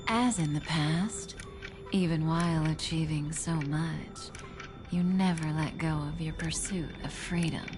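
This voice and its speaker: An actress playing a calm, enigmatic mentor in a video game, speaking softly and tenderly in a measured way. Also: female voice, low-pitched. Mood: gentle, assured. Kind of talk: scripted game voice acting. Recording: music behind the voice. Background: clear background music.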